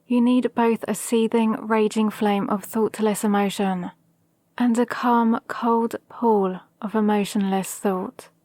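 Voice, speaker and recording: A woman playing a calm, possibly mad villain sorceress in an ASMR roleplay, speaking to an apprentice in a measured, condescending, gently dominant way. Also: medium pitch, dry recording, natural voice. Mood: patient, instructing. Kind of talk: ASMR roleplay with whispered asides. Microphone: close, dry microphone.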